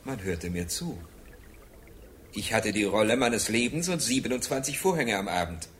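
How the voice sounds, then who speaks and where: An actor in a radio drama playing a faded stage star who mourns past glory in brooding, declamatory speech. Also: male voice, fairly low pitch, expressive, moderate pace, a bit bright. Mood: wistful, agitated. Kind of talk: theatrical radio drama monologue. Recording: roomy echo with faint noise.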